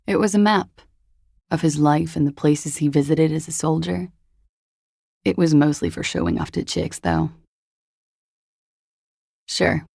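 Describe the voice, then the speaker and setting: A guarded, seductive woman from a video game, weary of the world. Her low voice is full of fry and moves slowly, with wry hesitations. The tone is dark and mellow. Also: dry recording, studio quality, heavily acted. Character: world-weary, guarded, seductive disco-dancer woman recounting a dead lover. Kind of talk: video game dialogue acting.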